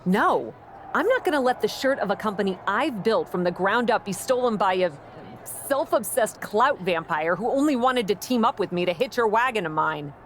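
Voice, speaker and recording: A woman playing a blunt, proud young pro wrestler in a video game, dry and guarded, quick to bristle. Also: mid-range voice, expressive, a little hiss or hum, natural voice. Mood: firm, annoyed. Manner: dry, guarded, indignant when pushed. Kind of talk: video game cutscene acting.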